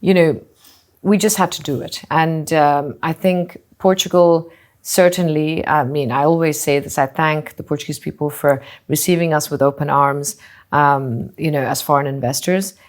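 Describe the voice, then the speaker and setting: Low-voiced woman from Singapore, musing. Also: steady tone, normal speed. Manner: thoughtful, with frequent "you know" and restarts. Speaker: hotel group co-founder and CEO. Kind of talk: conversational interview answers.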